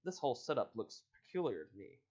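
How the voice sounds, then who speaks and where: A man's voice: mid-range voice, very animated, fast, a little mellow, slightly rough. Man reading as a skeptical young storybook detective, muffled. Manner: questioning, plain-spoken.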